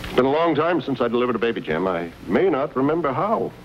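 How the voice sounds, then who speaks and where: An actor playing a courteous middle-aged gentleman doctor in an old western, genial, measured and formal. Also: mid-range voice, very animated, natural voice. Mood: conceding. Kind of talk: 1950s television western acting. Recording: muffled, hissy old TV soundtrack.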